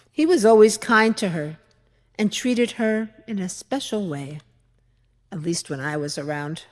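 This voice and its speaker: A woman playing a fretful, opinionated widowed mother-in-law from biblical times in a confiding, deliberate dramatic monologue. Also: mid-range voice. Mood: resigned. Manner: confiding, storytelling, deliberate with rhetorical pauses. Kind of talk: dramatic monologue reading in church. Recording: echoey hall with long reverb.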